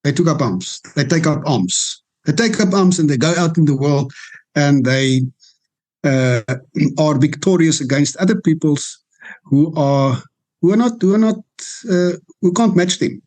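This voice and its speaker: An older man, a law professor, explaining history in a measured, lecturing way with frequent restarts and fillers. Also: fairly high pitch, darkish tone. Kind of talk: interview discussion.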